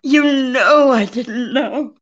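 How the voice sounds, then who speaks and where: An actress playing a pleading, deceitful femme fatale in old-time radio drama style, halting and imploring. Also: female voice, high-pitched, dull audio. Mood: desperate pleading.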